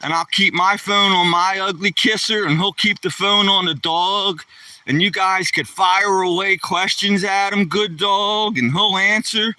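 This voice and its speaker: A man in his sixties rambles in a folksy, slang-filled way while livestreaming on a walk with his dog. His voice is bright and forceful, sits a touch high for a man, and sounds dry. It sometimes drifts away from the handheld phone mic. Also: no room sound, distant mic, rough recording. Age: 60s. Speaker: live streamer. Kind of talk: casual live-stream chat while walking his dog. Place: outdoors on a neighborhood walk.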